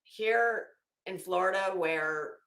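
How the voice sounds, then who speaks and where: Bright-voiced American woman chatting in an echoey room. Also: fairly low pitch, slow pace. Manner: chatty, emphatic, blunt, swears casually. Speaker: livestream host. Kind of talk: casual livestream chat with viewers. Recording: echoey, roomy sound.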